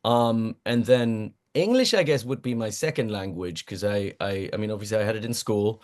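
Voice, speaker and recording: A man's voice: fairly low pitch, normal speed. A man whose first language is German, a voice actor guest chatting casually in an interview, rambling and self-deprecating, laughing often and restarting his sentences.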